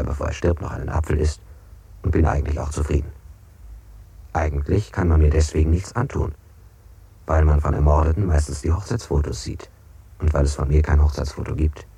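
Interrogated man, low and dark-toned, repetitive literary phrasing, evasive, oddly exact, German experimental radio play. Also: steady tone, brisk, vocal fry. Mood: detached, insistent. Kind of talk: 1960s German avant-garde radio play.

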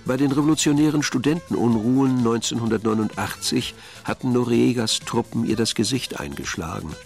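A man's voice: medium pitch, brisk, gravelly, faint music behind. A man narrating a radio crime drama from a script, in a wry storytelling manner.

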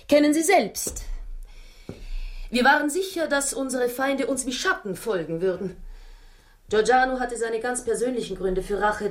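An actress in a German radio drama playing an anguished wife who tells her story in a dramatic, halting way. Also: fairly high pitch, natural voice. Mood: urgent, fearful. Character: anguished Italian wife recounting a murderous secret society's threat.

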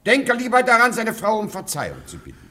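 A man's voice: high voice, huge pitch swings, fast talker, pushed and bright, heavily acted. Pompous elderly nobleman, radio comedy. Blustering, imperious, extremely varied intonation. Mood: indignant, blustering. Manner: blustering, commanding, theatrical. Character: pompous, hot-tempered old nobleman, indignant father-in-law. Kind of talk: classic German comedy radio play acting.

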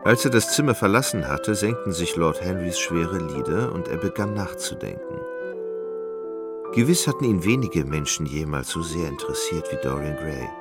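Deep-voiced guy narrating literary radio drama, really varied intonation, music underneath. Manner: measured, literary, expressive.

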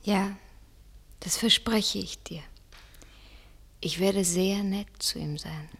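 An actress playing a tender young woman in love, speaking in a breathy whisper in a German radio drama. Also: mid-range voice, slow, fairly bright tone. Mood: tender, hushed.

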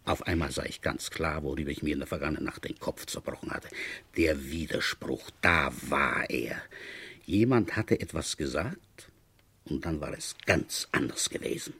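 A husky, low-voiced shrewd police inspector. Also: male voice, sharp tone, full character voice. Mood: brooding. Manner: deliberate, brooding, wry. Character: shrewd, wry village police inspector telling his case. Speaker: narrator and lead actor. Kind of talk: radio play, first-person detective narration.

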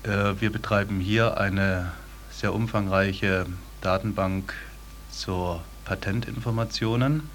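Slow, halting low-voiced man. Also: fairly even intonation, a bit bright. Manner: hesitant, halting. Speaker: sales director being interviewed. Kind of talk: formal interview answers.

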